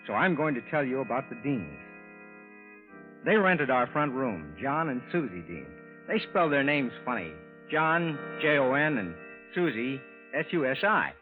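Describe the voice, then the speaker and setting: An earnest, animated American everyman husband. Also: medium pitch, unhurried, soft background music. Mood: earnest, recounting. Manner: plainspoken, conversational, earnest, expressive. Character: ordinary, earnest, mildly puzzled husband and landlord. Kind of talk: 1950s radio drama acting, first-person narration. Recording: thin, phone-like vintage radio recording.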